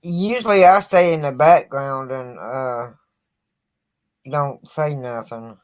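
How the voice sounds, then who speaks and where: A woman's voice: extremely low pitch, takes it slowly. A woman chatting on a live stream, giving short, drawling replies. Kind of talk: casual live stream chat.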